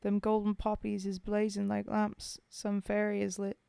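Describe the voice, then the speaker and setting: A woman reading as a rough grieving soldier. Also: medium pitch, little pitch movement, a little slow, mellow, no room sound, lightly acted. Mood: wistful. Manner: folksy working-class dialect, reading in verse. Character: rough common male British WWI soldier, grieving comrade. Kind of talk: poetry reading.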